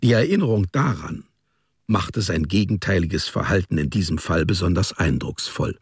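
Deep, gravelly male narrator. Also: expressive, normal speed, no echo, clean studio sound. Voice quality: gravelly, husky. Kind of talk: scripted literary narration for radio drama.

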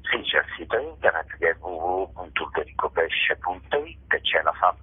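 A man's voice: sits low, very animated, normal speed, breathy edge. A man from Basilicata with a southern Italian accent, speaking emphatically as a theatre storyteller and repeating phrases for effect. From Basilicata, southern Italy. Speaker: theatre author, director and actor. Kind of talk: interview, passionate storytelling about own stage work. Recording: narrow tinny phone or radio line.

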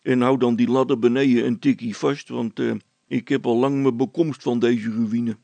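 A man's voice: mid-range voice, steady tone, moderate pace, vocal fry, dark tone, no room sound. A man voicing a reluctant, wry village policeman in an audiobook story, with a folksy, grumbling way of talking.

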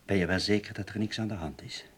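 An actor playing a smooth male office boss in a radio drama, placating and hesitant yet pressing. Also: fairly low pitch, steady tone, fast talker, a little hiss or hum, natural voice. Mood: uneasy, pressing.

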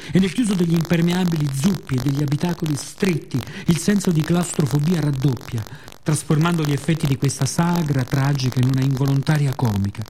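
A man performing a poetic, theatrical radio monologue in a measured, solemn manner with dramatic pauses. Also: fairly high pitch, mellow. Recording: faint ambient background noise.

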